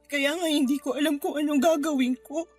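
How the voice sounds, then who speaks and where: A woman's voice: high-pitched, steady tone, a little fast, darkish tone, soft background music, natural voice. A woman with a Filipino accent playing a hurt, anxious wife and mother in a radio drama, tearful and plaintive. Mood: despairing. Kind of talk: Tagalog radio drama acting.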